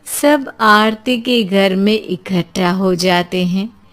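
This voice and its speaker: A woman storyteller reading scripted narration for an animated tale. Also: mid-range voice, slow pace.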